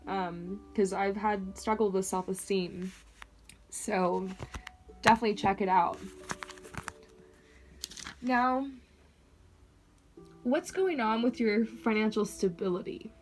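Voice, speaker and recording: A young woman doing a casual psychic reading, chatty and full of fillers, her voice a little raspy from a long day. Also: mid-range voice, takes it slowly, pauses often, slight background noise, rough recording. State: slightly raspy, short of water. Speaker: clairvoyant reader. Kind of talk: casual one-on-one tarot-style reading.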